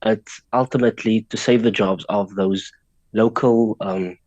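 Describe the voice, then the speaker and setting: A man's voice: lowish voice, steady tone, unhurried, darkish tone, no echo, lo-fi sound. A man with a South African accent whose first language is Afrikaans, speaking as a guest in a radio interview, earnest and grateful. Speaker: petition organiser, guest.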